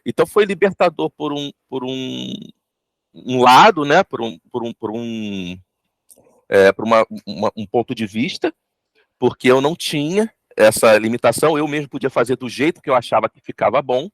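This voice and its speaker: A Brazilian man, a voice actor, giving reflective, explanatory interview answers in a halting way, repeating words as he goes. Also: mid-range voice, no room sound. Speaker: voice actor interviewed about an audio drama.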